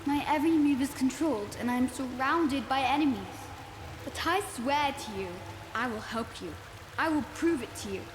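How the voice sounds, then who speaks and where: A woman plays a defiant deposed royal in a fantasy game. Her highish, earnest voice rings with long hall echo over rain-like noise. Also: normal speed, close to an everyday voice. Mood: pleading. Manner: earnest, insistent. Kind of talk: dramatic fantasy game acting. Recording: echoey hall, rainy ambient noise.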